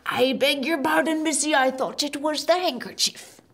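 A woman's voice: high-pitched, normal speed, fairly bright tone, voice pushed up. A woman voicing a big, polite, clumsy male giant who talks in rustic, humble speech. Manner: deferential, rustic common speech.

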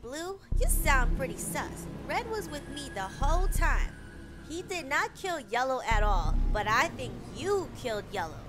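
A woman voicing a blunt, impatient female impostor in an animated game parody. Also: high-pitched, a little slow, over music. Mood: accusing. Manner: blunt, no-nonsense.

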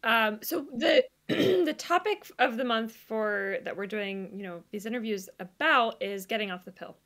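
A woman's voice: fairly high pitch, measured pace, a bit bright. Young woman interviewer, chatty and casual, filler-heavy, webcam from a bedroom. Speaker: interviewer, co-host. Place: bedroom indoors. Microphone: webcam on video call.

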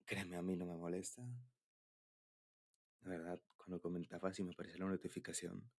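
Husky low-voiced young Mexican man. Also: monotone, brisk, a little mellow. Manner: bashful, hesitant, giggly. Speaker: ASMR roleplay creator. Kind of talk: intimate boyfriend ASMR roleplay, casual confiding talk.

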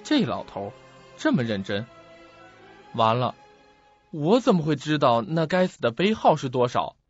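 A male actor voices an uneasy young man in a suspense audio drama. His husky voice is highly animated in pitch, with hesitant, self-questioning delivery. Music plays behind the slightly dull recording. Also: a little slow. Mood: puzzled, uneasy. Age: young adult. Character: anxious, puzzled young man searching for answers. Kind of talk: radio drama acting.

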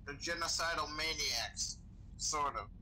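A man's voice: fairly high pitch, a little slow, bright, forceful tone, low-quality recording. A young man improvising in character as a bartender in game role-play over voice chat. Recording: faint background noise on game voice chat.

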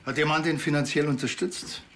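An actor playing a dry, sardonic village policeman with a Bavarian accent and a laconic, deadpan delivery, in a TV crime comedy. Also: a little high, a little fast, slight background noise, natural voice. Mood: probing. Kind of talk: naturalistic TV crime comedy acting.

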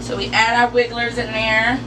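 A woman hosting an informal step-by-step how-to demonstration in a deliberate, instructional way, picked up by a camera a few metres away. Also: on the high side, flat delivery. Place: enclosed porch or sunroom. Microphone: camera mic a few metres away. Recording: distant mic, clearly noisy with background hum.

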